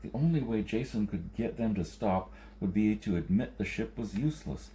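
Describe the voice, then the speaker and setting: A man's voice: lowish voice, normal speed, warm tone, slight background noise, low-quality recording. A man reading a story aloud as scripted audiobook narration. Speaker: audiobook reader.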